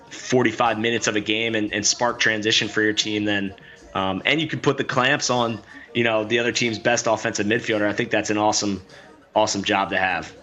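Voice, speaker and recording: A man's voice: on the low side, pushed and bright. A man giving color commentary on a lacrosse game, loose and rambling, with lots of "you know" fillers. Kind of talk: sports analysis.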